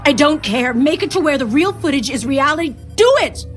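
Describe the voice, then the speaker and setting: A woman's voice: high-pitched, animated, brisk, faint music behind. An actress playing a distressed, guilt-ridden woman news editor in an audio drama, pleading and urgent. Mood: desperate pleading.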